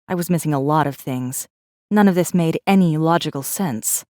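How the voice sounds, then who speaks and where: A woman reading a first-person story as an audiobook narrator, with measured, dramatic delivery. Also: low voice, dark tone, no room sound, studio quality.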